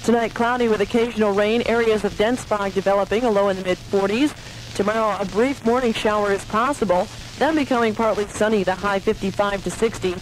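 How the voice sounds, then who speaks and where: A woman's voice: mid-range voice, a little fast, low-quality recording. A woman disc jockey reading announcements and weather on 1980s local radio. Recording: 1980s radio aircheck, faint noise.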